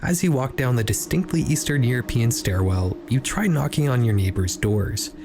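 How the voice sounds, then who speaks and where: Male narrator, mid-range pitch, measured storytelling, scripted, noisy ambient background. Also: animated. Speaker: video essay narrator.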